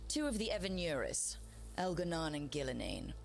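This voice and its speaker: A woman's voice: deep voice, sing-song, normal speed, gravelly, soft background music, heavily acted. A woman playing a decisive young heroine who leads a party in a fantasy video game, firm and plain-spoken. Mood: firm, explaining.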